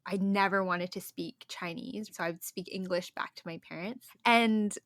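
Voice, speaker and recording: A young woman hosting a podcast, talking casually and openly, quick to agree and share her feelings. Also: medium pitch, no echo, clean studio sound. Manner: warmly engaged, quick affirmations, emotional. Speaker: podcast host. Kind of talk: casual conversation.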